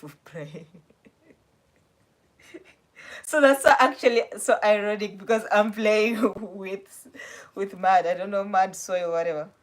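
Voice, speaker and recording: A young woman with a South African accent, chatting casually on a livestream and answering viewer questions in a rambling, self-correcting way. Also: mid-range voice. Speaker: livestream host.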